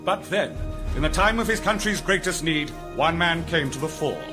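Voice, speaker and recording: A man's voice: a little high, lively intonation, normal speed, over music, lightly acted. Actor as a portentous, swooping British male narrator. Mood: dramatic, grave. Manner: portentous, emphatic, storytelling. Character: grave, dramatic male documentary narrator of a mock history. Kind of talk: mock-documentary narration in radio comedy.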